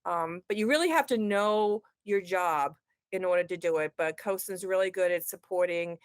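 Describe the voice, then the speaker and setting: A woman's voice: on the low side, normal speed, smooth, dry recording, clean studio sound. A middle-aged woman with a strong Boston accent, a guest answering interview questions in a chatty way with many ums and restarts over a remote call. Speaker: guest, ed tech consultant.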